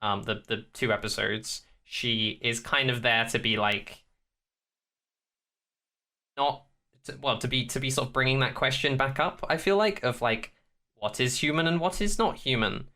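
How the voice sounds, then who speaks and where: A man's voice: mid-range voice, moderate pace, pushed and bright. A man co-hosting a podcast, giving his reactions in casual chat and thinking aloud as he goes. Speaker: podcast co-host.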